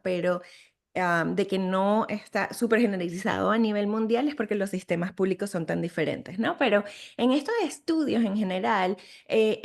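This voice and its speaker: A middle-aged woman with a Venezuelan Spanish accent explains medical studies in a webinar. Her voice sits at an ordinary pitch and carries a gravelly fry. She talks conversationally, with frequent fillers and restarts. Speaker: sleep medicine physician lecturing. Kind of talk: webinar lecture.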